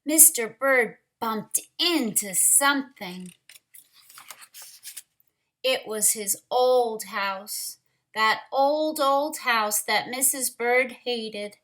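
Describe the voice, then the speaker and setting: Woman, high bright voice, children's storytime reader, expressive, drawn-out words. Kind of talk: read-aloud picture book narration with asides to children.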